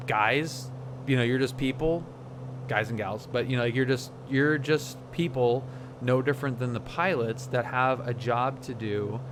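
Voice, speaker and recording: A man with a medium-pitched voice talks in a casual, reflective livestream style. He pauses and restarts as he searches for the right words. A clear hum of noise runs behind his voice. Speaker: flight sim streamer.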